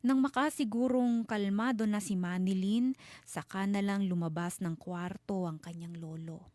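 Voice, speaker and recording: A woman narrates a scary story in a dark, mellow voice of medium pitch. Her phrasing is deliberate and full of suspense, and the sound is very dry and close. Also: steady tone, no room sound. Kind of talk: dramatic scripted horror narration.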